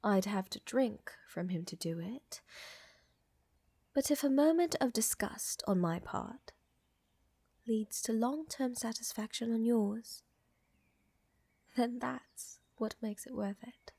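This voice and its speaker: A woman playing a tender, seductive vampire countess in an intimate ASMR roleplay, speaking softly and often in whispers, with refined affection. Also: on the high side, no echo, heavily acted. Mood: flirtatious, teasing. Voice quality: soft, breathy, often whispering. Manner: slow, refined, affectionate, gently dominant. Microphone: close, dry microphone.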